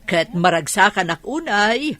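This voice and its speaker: A woman's voice: medium pitch, sing-song, a little slow, fairly bright tone, dry recording. An actress playing a courteous, welcoming woman computer trainer in a radio drama, with a polite, encouraging teacherly manner. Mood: welcoming, formal.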